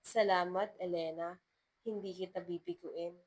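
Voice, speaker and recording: A woman storyteller voicing a sincere young male teacher in love, gentle and hesitant. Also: lowish voice, steady tone, unhurried. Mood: tender.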